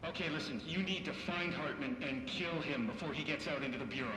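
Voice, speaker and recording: A bright, echoing intercom carries a nervous game-character staffer. He rambles awkwardly and fumbles for words, excitable and chatty, in a fairly high voice. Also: brisk. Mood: anxious. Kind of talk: naturalistic game cutscene dialogue. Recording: echoey intercom in big hall, faint noise.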